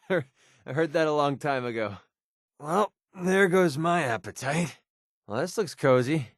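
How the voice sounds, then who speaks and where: A man playing a young, wisecracking, cynical mercenary with a soft side in a video game, speaking in a wry, colloquial way. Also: fairly high pitch, lively intonation, slow pace, no room sound, clean studio sound. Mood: amused. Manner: wry, sarcastic, colloquial delivery. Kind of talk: scripted video game companion dialogue.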